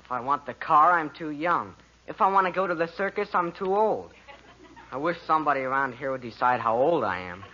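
A man's voice: a little high, lively intonation, fairly quick, bright, forceful tone. A man playing an earnest, self-pitying fifteen-year-old son who stammers, in a 1940s radio sitcom. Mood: aggrieved. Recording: dull, noisy vintage radio recording.

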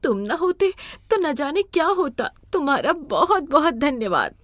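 A woman voicing an emotional, urgent young village mother in an animated story. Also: high-pitched, normal speed, no echo, studio quality, pitched above the performer's own voice. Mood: grateful. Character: frantic young village mother, panicky and grateful. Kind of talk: Hindi animated moral story acting.

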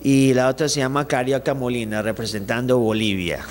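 A man's voice: medium pitch, brisk, big-room sound. A young man from Ecuador, a singer, chatting animatedly in a studio interview into a handheld mic, often restarting his sentences. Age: young adult. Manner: animated, gestures with his hands, many restarts. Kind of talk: casual interview chat. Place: small studio set, indoors. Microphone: handheld mic held by the interviewer.

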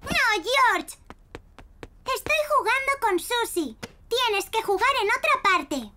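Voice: extremely high pitch, animated, takes it slowly, pushed and bright, slight background noise, full character voice. A performer voicing a cheerful, bossy little girl piglet in a children's cartoon, with a Castilian Spanish accent.

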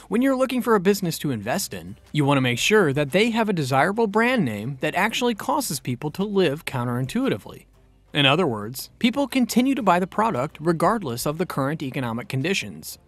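A male narrator reads a scripted explainer in a smooth, read-aloud delivery. His voice sits a little high and swings widely in pitch. Faint background music runs under him. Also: a bit bright.

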